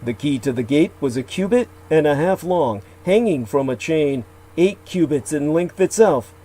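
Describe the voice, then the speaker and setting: A man reciting old legends aloud, with slow, deliberate delivery, archaic phrasing and occasional asides where he corrects himself. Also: fairly high pitch, animated, dark tone. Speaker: radio show guest.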